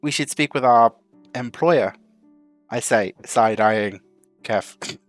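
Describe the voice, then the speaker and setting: A man's voice: mid-range voice, slow, dry recording, faint music behind. A man playing a tabletop game, talking hesitantly with frequent restarts in character. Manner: hesitant, stammering. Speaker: tabletop player. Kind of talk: in-character roleplay.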